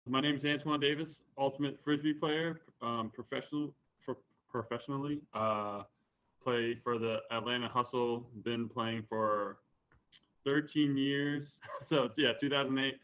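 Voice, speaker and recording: A man speaking in a documentary interview, hesitant and filled with pauses and fillers. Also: mid-range voice, steady tone. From Virginia, USA. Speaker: professional ultimate frisbee player. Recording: dull lo-fi audio.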